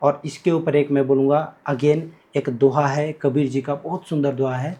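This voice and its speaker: A man from Bihar with a voice pitched a little above most men's, talking as a guest teacher. He explains things eagerly, breaking each idea down, and puts real emphasis on his points. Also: little pitch movement, moderate pace, mellow. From Bihar, India. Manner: explains by breaking down verses, switches between Hindi and Marathi. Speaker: guest teacher, academy cofounder. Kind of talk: podcast conversation.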